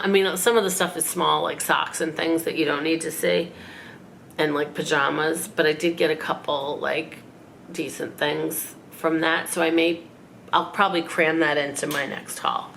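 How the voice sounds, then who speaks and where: Raspy-voiced woman rambling after a cold. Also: sits low, a bit bright, slight background noise. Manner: chatty, rambling, self-mocking. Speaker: fashion vlogger. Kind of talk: casual haul vlog. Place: living room at home.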